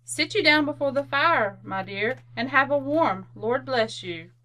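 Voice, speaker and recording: A woman's voice: high voice, animated, measured pace, bright, forceful tone, full character voice, low-quality recording. An actress playing a fond, bustling, outspoken working-class mother in a radio drama. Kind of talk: old-time radio drama acting.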